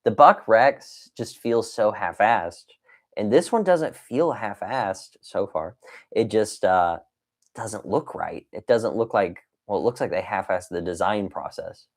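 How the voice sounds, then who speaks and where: A man's voice: lowish voice, lively intonation, a little slow. A man hosting a casual livestream, chatting playfully with viewers, reading messages aloud and wandering into exclamations and asides. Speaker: livestream host of a toy dinosaur collecting channel.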